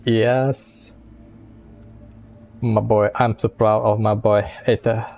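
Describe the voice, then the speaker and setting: Unhurried, teasing game streamer. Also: mid-range voice, little pitch movement, darkish tone. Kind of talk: casual let's-play commentary and dialogue reactions.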